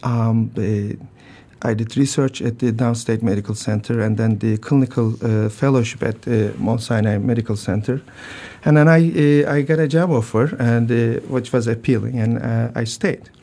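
Gravelly Turkish-accented older surgeon. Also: male voice, mid-range voice, dark tone. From Turkey. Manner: halting, many fillers and restarts, frequent throat clearing. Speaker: transplant surgeon guest. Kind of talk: radio interview answers.

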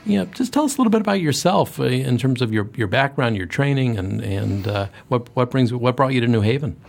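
A man hosts a radio interview in a gravelly voice. He asks his questions conversationally, stopping to rephrase them partway through. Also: medium pitch, expressive, brisk. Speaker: radio show host and interviewer.

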